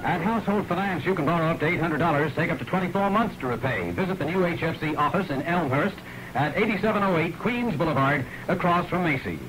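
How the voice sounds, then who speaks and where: An American male newscaster delivers scripted 1960s top 40 radio news. His voice is pitched fairly high, and the old recording is clearly scratchy and distorted.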